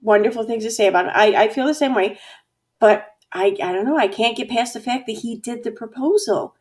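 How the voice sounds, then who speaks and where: A woman hosting a casual livestream chat, talkative and quick to laugh, reading out viewer comments. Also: fairly high pitch, darkish tone. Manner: chatty, laughs often, reads out viewer comments. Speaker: host.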